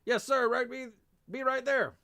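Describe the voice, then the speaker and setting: A man's voice: mid-range voice, fast talker, fairly bright tone, no room sound, close to an everyday voice. A man voicing a reluctant, grumbling army private in a war story, agitated and hesitant. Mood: flustered, obedient.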